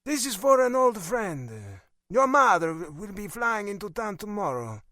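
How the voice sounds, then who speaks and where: A man acts out a stern, controlling older father with an Italian accent. He speaks in curt, disapproving commands, pitched up and emphatic. It is audiobook character work, recorded very dry. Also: sing-song, a little slow, bright, forceful tone, no echo, heavily acted. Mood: commanding, stern.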